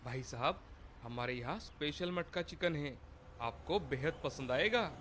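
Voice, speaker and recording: Man voicing a humble, highish-voiced village father. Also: lively intonation, unhurried, fairly bright tone, slight background noise. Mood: polite, obliging. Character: humble, worried poor village father turned food seller. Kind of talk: animated moral-story dubbing.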